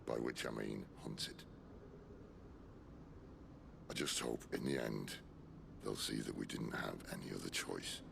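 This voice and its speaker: A world-weary fantasy outlaw leader, with an extremely deep voice full of heavy gravel. He delivers his lines with dry, wry understatement, like a seasoned game-cutscene veteran. Loud effects sit behind him. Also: unhurried, long pauses, noisy background, full character voice. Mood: reflective. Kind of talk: video game cutscene acting.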